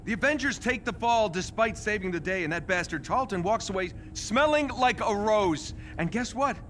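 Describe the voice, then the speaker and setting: Cocky wisecracking superhero inventor in a game, higher voice, swings all over, noisy background. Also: male voice, lively intonation, a bit bright. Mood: angry, bitter. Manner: fast-talking, wisecracking, sarcastic. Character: cocky sarcastic male genius superhero inventor, bitter and guarded. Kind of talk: video game cinematic acting.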